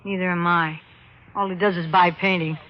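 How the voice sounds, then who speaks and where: An actress playing a tipsy, sardonic young wife in a 1940s radio drama. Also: female voice, fairly low pitch, fairly even intonation, normal speed, natural voice. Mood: bitter, sardonic. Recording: muffled, faintly noisy old radio recording.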